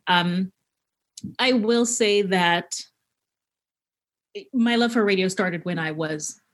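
A woman's voice: medium pitch, takes it slowly. A middle-aged woman from Haiti, a podcaster being interviewed, talking conversationally and with enthusiasm as she shares anecdotes.

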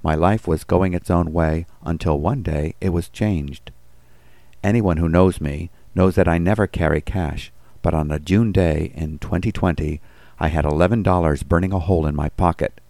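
A man's voice: sits low, fairly even intonation, moderate pace, dark tone, no echo. A man reading scripted devotional commentary and scripture as a pastor.

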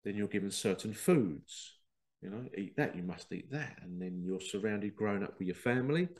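Stuffy-nosed man with a gravelly voice. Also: lowish voice, expressive, normal speed, darkish tone. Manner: rambling, digressive, with acted-out quoted exchanges. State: congested with a cold. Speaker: podcast host. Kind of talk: casual spiritual monologue.

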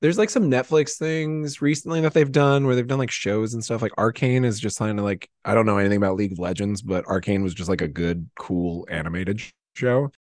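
A man chatting casually in an excitable, effusive way. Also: fairly low pitch, fairly quick, few pauses, no echo, clean studio sound. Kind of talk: casual chat.

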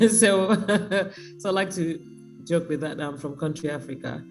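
A woman artist speaking by phone in an interview, reflective and sometimes joking, with lively emphasis. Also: sits low, a little slow, soft background music. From Nigeria.

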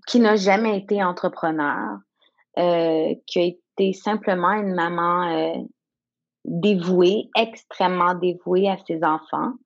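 A woman's voice: fairly low pitch, highs cut off. A woman in her thirties, a native English speaker, speaking French as a guest in an interview, reflective and searching for words. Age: 30s. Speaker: guest entrepreneur. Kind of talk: candid conversational interview.

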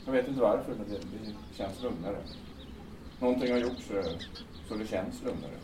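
Male actor as earnest troubled man, low voice, hesitant delivery, noisy distant recording. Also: lightly acted. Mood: sad, subdued. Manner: hesitant, repeats himself. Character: troubled, sad, earnest man recovering from despair. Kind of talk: naturalistic audio drama acting. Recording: echoey, distant, noisy with birds.

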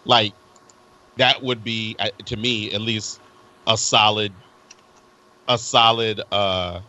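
A man in a casual group chat who argues his point step by step, in a halting way. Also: lowish voice, steady tone, pushed and bright. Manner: halting, lays out arguments step by step. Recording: dull lo-fi recording.

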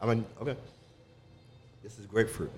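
A man's voice: on the low side, fairly even intonation, moderate pace, slight background noise. A man co-hosting a casual talk show, asking curious, playful questions. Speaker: co-host. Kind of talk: casual chat.

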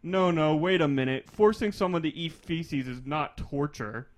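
Male podcast co-host, slightly high-pitched, casual storyteller, prone to giggling mid-read. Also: normal speed. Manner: giggly, breaks into laughter while reading aloud. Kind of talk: casual comedy chat, retelling news stories.

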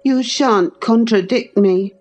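Woman putting on a peevish, high-voiced boy. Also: slow, darkish tone, faint music behind. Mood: spiteful. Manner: petulant, plaintive. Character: peevish, spoiled, sickly adolescent boy. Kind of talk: audiobook character acting.

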